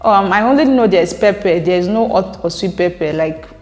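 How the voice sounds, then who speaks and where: A woman's voice: fairly low pitch, normal speed, a little mellow, faint music behind. A young Nigerian woman vlogging casually, reading viewer comments aloud and explaining herself at length, laughing often. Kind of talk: casual chat to camera. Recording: echoey room with long reverb.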